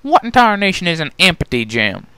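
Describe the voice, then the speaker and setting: A man's voice: high voice, sing-song, fairly quick, sharp tone, smooth, dry recording, pitched above the performer's own voice, exaggerated voice. Man doing a blunt farm-girl pony. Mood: baffled. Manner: folksy, blunt.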